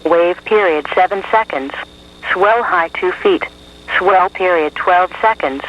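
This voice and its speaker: A synthetic man's voice, pitched high, reads scripted observations over weather radio. The sound is dull and lo-fi. Phrases come out clipped and evenly measured, like an automated bulletin.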